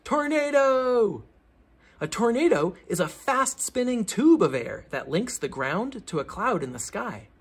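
A man with a fairly high, husky voice reads aloud for children. His pitch swings in a very lively way, with theatrical emphasis and teacherly, explanatory phrasing. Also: measured pace. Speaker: children's book reader, teacher. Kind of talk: expressive read-aloud of a children's science book.

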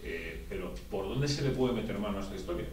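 A man's voice: fairly low pitch, quick pace, darkish tone. A middle-aged man, a journalist chatting in a rambling way with fillers. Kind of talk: casual chat with interviewees. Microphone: off-camera, far from the mic. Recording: distant, very echoey room with noise.

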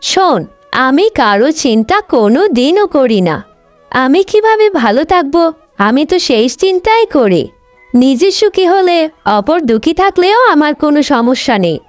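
Lady doing a snooty selfish big sister in a cartoon, high swoopy voice, snappy and scornful. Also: very animated, moderate pace, no room sound. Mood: cold, defiant. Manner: snappy, disdainful. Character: selfish, haughty, scornful elder sister, young woman. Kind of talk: Bengali cartoon story dubbing. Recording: dry, music bed under the voice.

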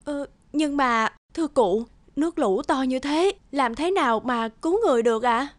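An actress playing a kind young peasant mother in an animated folk tale, gentle and respectful in manner. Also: high voice, normal speed, dry recording. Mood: anxious.

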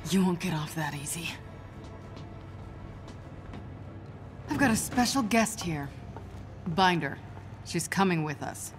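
A woman's voice: on the low side, animated, normal speed, natural voice. An actress playing a tough, dry-witted female spy hero in a video game cutscene, clipped in manner. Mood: defiant. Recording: music behind the voice.

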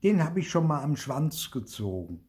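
A man's voice: fairly high pitch, big pitch swings, moderate pace, darkish tone, pitched above the performer's own voice. A man voicing a cheeky, bossy schoolgirl who talks in an insistent, boastful way in a children's story.

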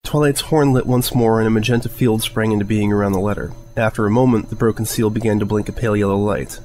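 A man's voice: fairly low pitch, steady tone, fast, a little mellow. A man narrating a scripted piece of fan fiction as a storyteller.

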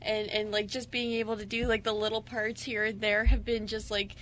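A woman's voice: mid-range voice, level tone, fairly quick, a bit bright, a little hiss or hum, low-quality recording. A woman chatting casually on a radio panel, gushing and peppering her talk with "like.". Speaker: radio show cast member. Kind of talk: casual group radio chat.

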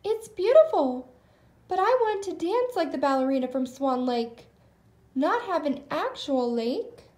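A woman's voice: sits high, very animated, a little slow, darkish tone, echoey room. A woman voicing a cheerful, hopeful young girl in a storybook, earnest and wishful. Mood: disappointed.

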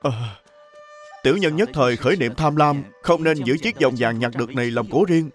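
A man's voice: medium pitch, a little fast, faint music behind, close to an everyday voice. An actor playing an ashamed young male servant in a historical cartoon, humble and pleading. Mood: contrite. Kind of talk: animated historical cartoon dubbing.

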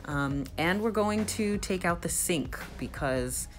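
A young woman narrating her renovation vlog in a casual, bubbly and excited way. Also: lowish voice, slow, music in the background. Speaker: vlogger.

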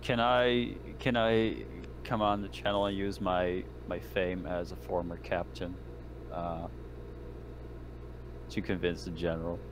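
A man chatting casually as a player in an online tabletop game, haltingly and repeating words as he goes. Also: sits low, flat delivery, background noise. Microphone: online voice call.

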